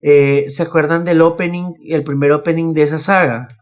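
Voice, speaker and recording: Higher-voiced young man, muffled podcast audio. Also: fairly even intonation, moderate pace. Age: young adult. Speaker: podcast co-host. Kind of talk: casual podcast chat about anime. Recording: dull lo-fi voice recording.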